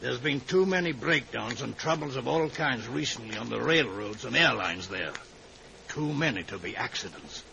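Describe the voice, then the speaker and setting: A shrewd older Scottish agent in an old radio thriller. His voice is gravelly and sly, with a noticeable murmur of a crowd behind it. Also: medium pitch, expressive, moderate pace, rough recording. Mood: grave, determined. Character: shrewd, sly older male Scottish secret service man. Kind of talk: 1950s radio drama acting.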